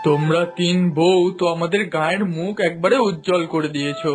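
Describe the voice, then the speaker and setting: A man voices a village headman in a cartoon, formal and full of praise. His voice sits notably high for a man. Music plays faintly underneath. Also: fairly even intonation, brisk, close to an everyday voice. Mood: approving. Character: village headman, respected middle-aged man. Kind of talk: animated cartoon voice acting.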